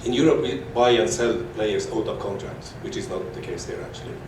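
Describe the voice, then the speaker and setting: A man from Norway asking a question from the floor at a panel, halting and repeating his words. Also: on the low side, background noise. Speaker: audience member asking a question. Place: large echoey conference hall. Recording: echoey hall audio from far off.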